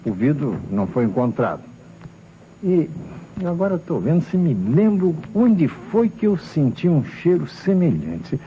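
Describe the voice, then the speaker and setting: An actor playing an earnest, deferential Brazilian police assistant thinking aloud in an old radio crime drama. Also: male voice, fairly high pitch, very animated, unhurried. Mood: pondering, excited. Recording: dull old radio recording, faint noise.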